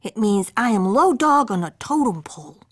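A woman's voice: on the high side, big pitch swings, moderate pace. A woman voicing a boastful young kitten who plays at being a daredevil chihuahua, sprinkling in mock Spanish words. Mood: cocky.

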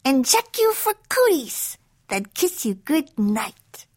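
A woman's voice: sits high, sing-song, takes it slowly, darkish tone, voice pushed up, exaggerated voice. A woman voicing playful, giggly Egyptian goddesses in a storybook, singsong and dainty. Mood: teasing. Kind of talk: storybook character voice.

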